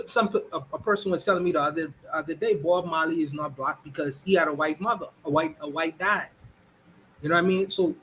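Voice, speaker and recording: Bright-voiced man, halting speech. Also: fairly high pitch, slight background noise, poor audio. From Bahamas. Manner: many restarts and repeated "you know what I mean". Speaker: guest. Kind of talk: casual opinion talk.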